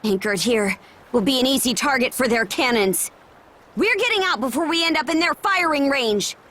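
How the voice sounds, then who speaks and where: Game role: commanding young female seafarer, bright-spirited swings in a high voice. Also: animated, moderate pace, slight background noise. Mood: urgent, commanding. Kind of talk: scripted video game voice acting.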